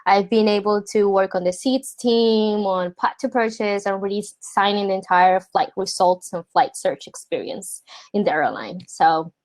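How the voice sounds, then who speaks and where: A woman's voice: mid-range voice, normal speed, a little mellow. A woman from Puerto Rico whose first language is Spanish, reviewing a design portfolio over a video call in a friendly manner, often laughing.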